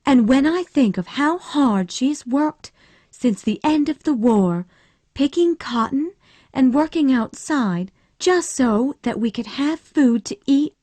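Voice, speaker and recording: A woman voicing a gentle, loving young Southern wife in a storybook reading, speaking soft and warm. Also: high voice, animated, slow, no room sound, voice pushed up, exaggerated voice. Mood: earnest.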